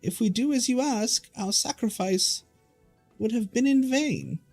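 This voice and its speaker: A man's voice: extremely high pitch, unhurried, gravelly, darkish tone, soft background music, pitched above the performer's own voice, exaggerated voice. A man voicing a fretful elder of a small fey folk, in agitated, old-fashioned formal speech. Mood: solemn.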